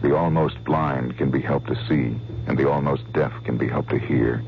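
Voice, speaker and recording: A man reading a public service announcement in a slow, earnest announcer read. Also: very deep voice, steady tone, creaky. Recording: dull old radio with hum.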